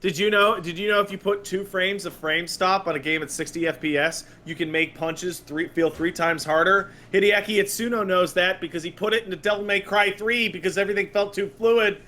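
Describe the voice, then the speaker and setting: A man's voice: sits high, fairly even intonation, brisk, few pauses, bright, forceful tone, a little hiss or hum. A man co-hosting a gaming podcast, chatting casually and riffing by acting out imagined reactions. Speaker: podcast co-host.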